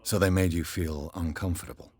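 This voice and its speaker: An actor playing a terse, gruff private investigator who questions people bluntly in a video game, with a husky voice. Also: male voice, sits low, fairly even intonation, fairly quick, a little mellow. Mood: terse, probing. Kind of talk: video game cutscene dialogue.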